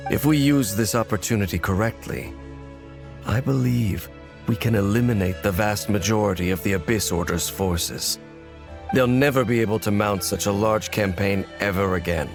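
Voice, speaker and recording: Stoic game warrior: lowish voice, solemn, measured, formal delivery, coldly determined, faint music behind. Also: male voice. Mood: cold, resolute. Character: grave, brooding male warrior, coldly determined. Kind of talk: English video game voice acting. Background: background music.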